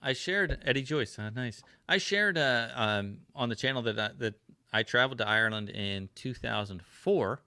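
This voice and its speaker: A man's voice: mid-range voice, moderate pace, bright, forceful tone. An American man hosting a livestream podcast, chatting casually and asking curious questions with plenty of ums and uhs. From United States. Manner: curious, asks lots of questions, filler-heavy. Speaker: podcast host.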